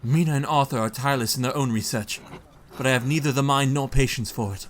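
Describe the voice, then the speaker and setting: A man's voice: medium pitch, fast, slight background noise, lightly acted. An actor playing an anxious young male hero who narrates his journal in a brooding tone in an audio drama.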